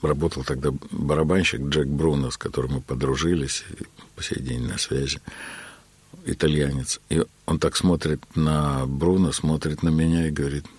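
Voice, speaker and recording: A man being interviewed about his work as a keyboard player, telling his story in an unhurried way with many filler words. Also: rumbling low, steady tone, a little mellow, slightly rough, no echo.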